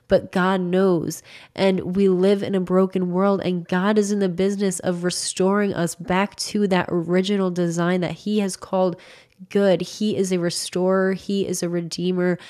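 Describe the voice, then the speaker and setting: A young woman in her twenties speaks earnestly and encouragingly, like a devotional talk to her listeners. Her voice has a slight rasp left over from being sick. She sits close to a studio microphone in a small home studio. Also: mid-range voice, dark tone. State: slightly raspy from recovering from illness. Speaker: faith content creator. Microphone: large studio microphone close to mouth.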